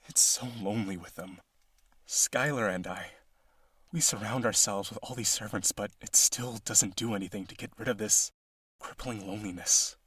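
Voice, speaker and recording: A man's voice: lowish voice, bright, forceful tone, slightly rough, dry recording. A man playing a lonely, boastful vampire aristocrat in an audio roleplay, genteel, dramatic and insistent in his possessive wooing. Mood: brooding, lonely. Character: lonely, boastful rich vampire brother, possessive yandere suitor.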